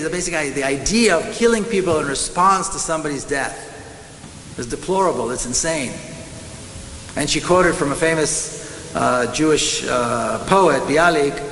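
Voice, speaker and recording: A man's voice: fairly high pitch, fairly bright tone. Impassioned middle-aged Israeli man, echoey hall. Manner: impassioned, emphatic, measured with slow deliberate stresses. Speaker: activist speaker. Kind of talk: conference speech. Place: large conference hall. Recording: echoey hall with faint hiss.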